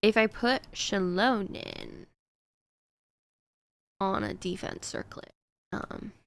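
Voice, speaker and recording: A woman's voice: mid-range voice, slow pace, creaky, fairly bright tone, smooth. A woman streaming a game, chatting casually to her viewers in a rambling way with frequent fillers. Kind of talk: casual chat while gaming.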